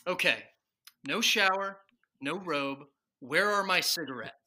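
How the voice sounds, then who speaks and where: A woman's voice: fairly low pitch, lively intonation, unhurried, sharp tone, heavily acted. A woman playing an exasperated, put-upon father in a parody of a 1940s radio sitcom, whiny, grumbling and blustering. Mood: resigned, sour.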